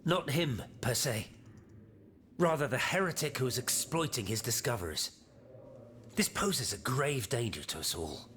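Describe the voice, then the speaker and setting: A man voicing a grave, learned and devout inventor in a video game, solemn and deliberate. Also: medium pitch, lively intonation, fairly bright tone, a little hiss or hum. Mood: solemn, earnest. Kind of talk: video game cutscene acting.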